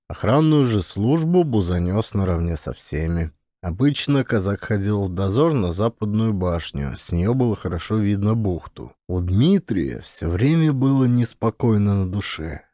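Mellow, expressive male audiobook narrator. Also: on the low side, no echo, studio quality.

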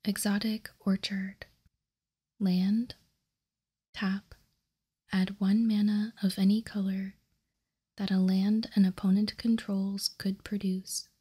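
A woman hosting an ASMR card showcase in a breathy, unvoiced whisper, hushed and deliberate, close to the microphone. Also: medium pitch, little pitch movement. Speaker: host.

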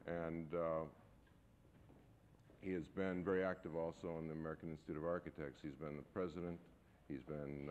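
A man in his forties hosting an architecture lecture series, introducing the evening's guest in a measured, halting way with frequent pauses. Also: extremely low pitch, a little mellow. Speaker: architecture school host introducing a guest speaker. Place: lecture hall. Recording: dull, faintly noisy 1970s videotape.

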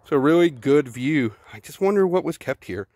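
A man's voice: on the high side, lively intonation, normal speed, a little mellow, no echo. Chatty Texan man filming a walk outdoors. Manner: chatty, thinking aloud, repeats words. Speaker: vlogger exploring ruins. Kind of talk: casual walking tour narration. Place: outdoors at a ruined zoo. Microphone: handheld phone camera.

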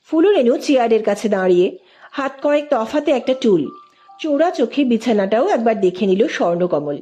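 High-pitched woman narrating a story, mellow tone. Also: very animated, normal speed, faint music behind. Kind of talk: scripted literary story narration with acted dialogue.

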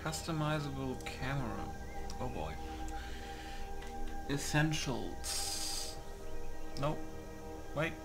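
Male gaming host, unhurried and mid-pitched, muttering through menu settings in clipped phrases, prominent music underneath. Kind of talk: casual gameplay setup commentary.

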